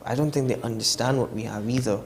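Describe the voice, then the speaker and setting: Male TV host, mid-pitched, earnest, stop-start phrasing. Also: fairly even intonation, fast, slight background noise. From Trinidad and Tobago. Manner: earnest, restarting often. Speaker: TV show host. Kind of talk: casual studio chat. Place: TV studio.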